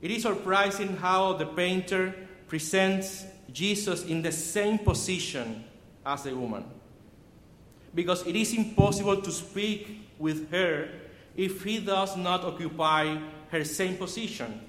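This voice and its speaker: Slow, earnest Cuban-accented man preaching. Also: high-pitched, a bit bright, slight background noise. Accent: Cuban Spanish. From Cuba. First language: Spanish. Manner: measured, earnest delivery with frequent restarts. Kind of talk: sermon. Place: church sanctuary. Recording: echoey hall from far off.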